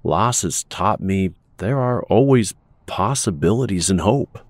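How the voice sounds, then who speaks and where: A man playing a stoic, wounded veteran inventor, speaking in a measured, earnest way in an audio drama. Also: lowish voice, animated, darkish tone, no echo. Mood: somber, hopeful.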